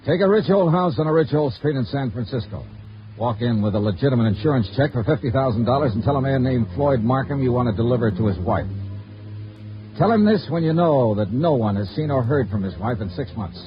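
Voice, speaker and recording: A man plays a shrewd, hard-boiled investigator from a 1950s radio noir, at a middle pitch. He talks in a terse, insistent, plain way. The vintage recording is muffled, with some music behind it. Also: expressive, brisk, natural voice. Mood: dry narration. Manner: terse, insistent, matter-of-fact.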